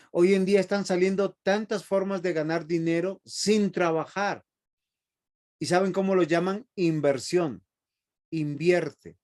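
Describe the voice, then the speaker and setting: A man's voice: sits high, darkish tone. A man teaching a Bible seminary class in a preachy way, often asking the students questions.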